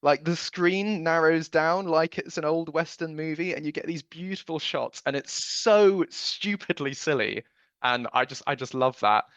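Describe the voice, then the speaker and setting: A man's voice: on the high side, normal speed, a bit bright, no echo. Chatty Englishman, slightly dull close recording. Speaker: producer being interviewed. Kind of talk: conversational interview answers, recounting family memories.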